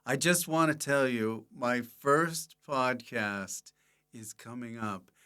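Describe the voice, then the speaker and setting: Slow-talking, bright-voiced man hosting a comedy podcast. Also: medium pitch, clear, resonant tone. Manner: jokey, playful, given to sudden loud exclamations. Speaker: podcast host. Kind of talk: casual comedic podcast chat.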